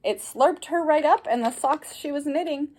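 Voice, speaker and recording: A woman reading a children's picture book aloud like a teacher, animated, with asides and questions to young listeners. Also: high-pitched, normal speed, rough recording.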